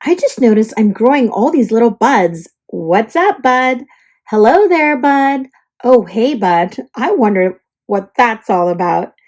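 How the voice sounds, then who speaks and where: A woman's voice: fairly high pitch, animated, measured pace, darkish tone. A woman voicing a perky, dramatic young oak tree telling its storybook diary, with theatrical exclamations. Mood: excited, curious. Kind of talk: storybook diary read-aloud.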